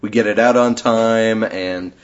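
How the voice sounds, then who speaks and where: A man's voice: on the low side, steady tone, unhurried. Guy hosting a casual podcast, chatty n chuckly, kinda dull muffled recording. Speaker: podcast host.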